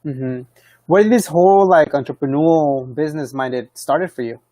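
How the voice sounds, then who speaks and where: A man's voice: on the high side, normal speed, warm tone, no room sound. A man hosting a live stream, asking friendly, inquisitive questions and often cutting in with encouraging affirmations. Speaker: live stream host and interviewer. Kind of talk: casual interview questions.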